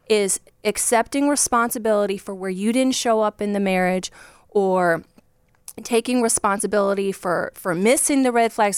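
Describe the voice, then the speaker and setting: A woman's voice: fairly high pitch, clean tone, dry recording, clean studio sound. A woman from Virginia with a Southern accent, a divorce coach speaking earnestly and passionately as a podcast guest. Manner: earnest, passionate, speaks in long run-on explanations.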